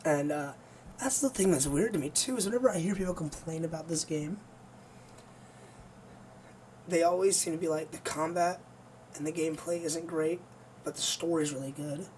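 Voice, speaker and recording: A gaming streamer with a hoarse, nearly lost voice, chatting casually and rambling to viewers before playing. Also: mid-range voice, normal speed, long pauses, darkish tone, slight background noise. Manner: rambling, easygoing, many fillers.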